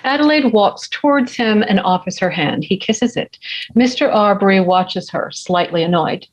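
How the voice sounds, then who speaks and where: A woman's voice: medium pitch, lively intonation, normal speed, highs cut off. A woman giving scripted narration of stage directions at a screenplay table read.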